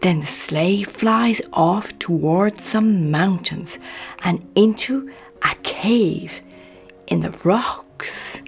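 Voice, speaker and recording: A woman reading a children's picture book aloud in an expressive, measured bedtime storytelling manner. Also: medium pitch, creaky, soft background music.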